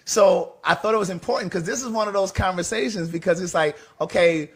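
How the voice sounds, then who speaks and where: A man on a casual podcast explaining his view earnestly and in a measured way. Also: sits high, husky. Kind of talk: casual opinion chat.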